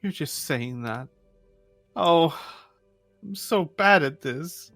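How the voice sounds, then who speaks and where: A man voicing an excitable, wide-eyed young male character in a tabletop game, eager and gasping with wonder. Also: a little high, takes it slowly, no room sound, faint music behind, voice pushed up, heavily acted. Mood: flustered.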